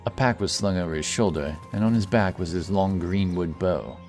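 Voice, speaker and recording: A man narrating a scripted fantasy audiobook with a measured, dramatic storytelling manner. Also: low-pitched, darkish tone. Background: music.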